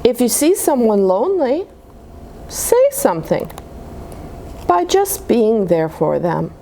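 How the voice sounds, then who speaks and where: A woman reads aloud for young children with a highly animated delivery. Her voice sits a little high and moves at an unhurried pace, explaining things simply as she goes. There is some background noise. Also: creaky. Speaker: children's storybook reader at a church.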